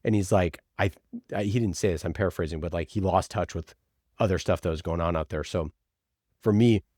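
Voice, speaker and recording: A man's voice: low voice, a little mellow, no room sound, studio quality. A man speaking as an interview guest, answering casually and emphatically, with informal asides in which he quotes himself and others. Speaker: podcast producer, interview guest.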